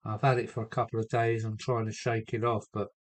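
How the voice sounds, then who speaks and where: A man with a cold chatting casually on a home live stream from his loft, answering viewers and trying out halting Spanish phrases. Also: lowish voice, level tone. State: has a cold. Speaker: model railway live streamer.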